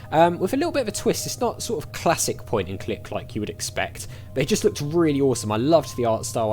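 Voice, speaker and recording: A man's voice: on the high side, a little mellow. A man hosting a gaming video, giving casual let's play commentary and reading dialogue options aloud.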